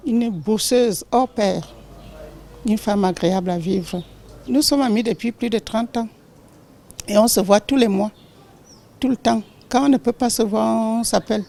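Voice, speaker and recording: A woman's voice: a little high, measured pace, gravelly, pauses often, warm tone. A woman giving a personal testimonial as an interviewee. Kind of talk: spontaneous testimonial.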